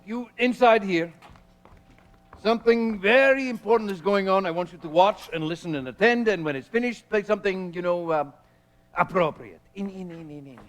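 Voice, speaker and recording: A flamboyant, swaggering poet-adventurer, as a man acts him out, spinning wild tales in a high voice. He talks in grand, theatrical, rambling bursts, as in a staged period drama. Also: normal speed, natural voice. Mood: scheming. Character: witty swaggering male poet posing as a raving space traveler.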